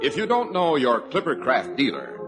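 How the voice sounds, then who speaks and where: A man's voice: medium pitch, wildly swooping pitch, moderate pace. Man announcing an old radio show. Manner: smooth, formal announcer delivery. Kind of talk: 1940s radio announcing and commercial. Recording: old radio broadcast with music behind.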